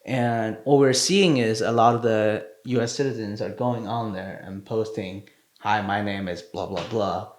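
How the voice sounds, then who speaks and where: A man co-hosting a podcast in casual chat, talking haltingly with fillers and restarted phrases. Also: fairly low pitch, steady tone, echoey room. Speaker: podcast co-host.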